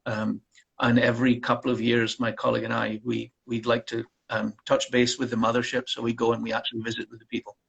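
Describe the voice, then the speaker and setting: A man, a college counselor, answering interview questions in a relaxed way with frequent pauses and ums. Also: medium pitch, gravelly, slightly rough, low-quality recording.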